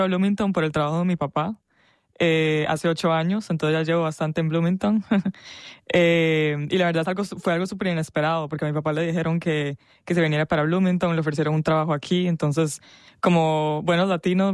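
A woman's voice: low voice, no echo, clean studio sound. A woman, a guest talking casually in an interview, chatty and full of small fillers. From Costa Rica. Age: 20s. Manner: warm, chatty, with frequent laughs and fillers.

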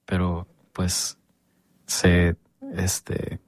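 A man's voice: deep voice, completely flat delivery, drawn-out words, no echo. A man hosting an interview, asking long, rambling questions full of fillers. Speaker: host. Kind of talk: casual interview.

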